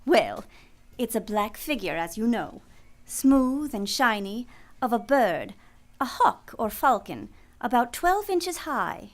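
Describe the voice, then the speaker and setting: An actress playing a seductive, deceitful femme fatale in a radio play, with theatrical delivery. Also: female voice, a little high, very animated, slow pace. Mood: evasive. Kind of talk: old-time radio play acting.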